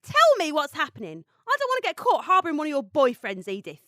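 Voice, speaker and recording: An actress in an audio drama playing a brash, hard-drinking, sharp-tongued woman who is blunt and indignant. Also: sits high, wildly swooping pitch, fast talker, no room sound, studio quality, full character voice. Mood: irritated, suspicious.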